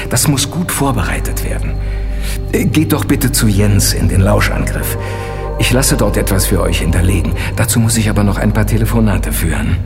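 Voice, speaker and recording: A man's voice: lowish voice, lightly acted. A man playing a worried, earnest and friendly senator and former intelligence official in a German audio drama. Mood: urgent, caring. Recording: long hall reverb, music underneath. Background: clear background music.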